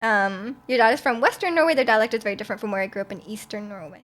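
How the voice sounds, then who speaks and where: A woman's voice: fairly high pitch, rapid-fire, fairly bright tone. Chatty young woman streamer, often laughing. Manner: chatty, laughs often, many fillers and restarts. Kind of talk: casual chat reading viewer comments.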